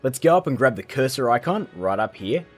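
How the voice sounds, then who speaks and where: Casting: male tutorial host, thirties, lively swooping delivery, slightly rough voice, jokey and casual. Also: medium pitch, brisk, faint music behind. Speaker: host of a filmmaking tutorial show. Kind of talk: playful scripted tutorial to camera.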